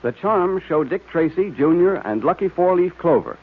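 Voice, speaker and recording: An American man announcing on a 1940s radio show in an emphatic, enthusiastic sales style with a husky voice. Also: fairly high pitch, expressive, moderate pace. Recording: tinny vintage radio recording.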